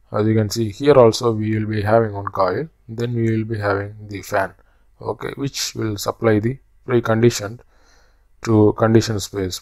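A man narrating a slide lecture as a technical instructor, explaining step by step in halting phrases. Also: on the low side, vocal fry, a little mellow, no echo.

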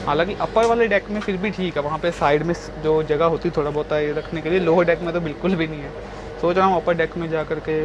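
A man's voice: a little high, quick pace, lo-fi sound. A young man chatting to the camera as a travel vlogger, filming himself with a phone held at arm's length on a railway platform and inside a train. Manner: chatty, talks straight to the camera while walking. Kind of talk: casual travel vlog. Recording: noisy station and train sounds.

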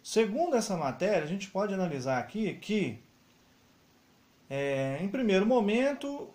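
High-voiced man commenting on news. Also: a little mellow. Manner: explains while pointing at web pages on screen. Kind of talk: screen-recorded news commentary. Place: voice-over over screen recording.

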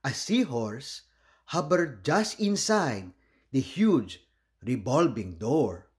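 A man reads a children's picture book aloud. His pitch moves up and down in a very lively way, and he takes his time, speaking slowly and expressively as a storytelling host. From Philippines.

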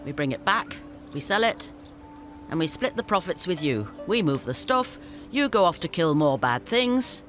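An actress playing a shrewd female scavenger leader in a fantasy game, brisk and businesslike with a teasing edge. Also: on the low side, very animated. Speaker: game character. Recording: game audio with faint music.

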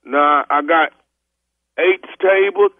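A man putting on a stammering party rental clerk in a comic prank call, halting, repetitive and persistent. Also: on the high side, dry recording. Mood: businesslike, halting. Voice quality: heavy stutter. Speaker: prank caller. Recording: tinny, husky phone line.

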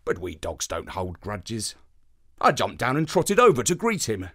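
A man voicing a good-natured space dog who narrates a children's bedtime story in a wry, expressive way. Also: lowish voice, a little slow, slightly rough, full character voice. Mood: wry narrating. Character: good-natured male space-dog telling his own tale.

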